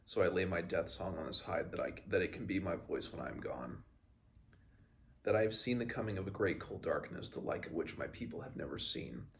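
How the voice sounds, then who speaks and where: A man's voice: sits low, expressive, brisk, gravelly. A man reading the part of a solemn old shaman who recites formally in a fantasy storybook. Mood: solemn farewell. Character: solemn old male shaman reciting his death song.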